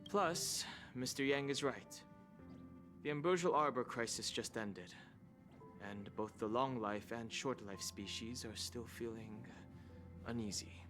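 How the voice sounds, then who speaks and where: A man's voice: mid-range voice, lightly acted. A man playing a serious, reserved young guard companion in a video game, dry and earnest. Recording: music playing clearly behind the voice.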